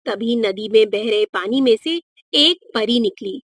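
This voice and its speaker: A woman's voice: on the high side, expressive, unhurried, no room sound, clean studio sound. A woman narrating a scripted moral story for children.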